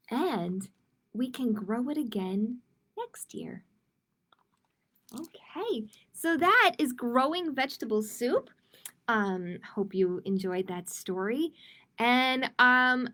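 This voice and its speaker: A woman's voice: a little high, fairly bright tone. A woman hosting a storytime for young children, reading picture books aloud expressively with informal asides to the viewers. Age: adult. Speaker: children's library storytime host. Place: live-streamed online storytime.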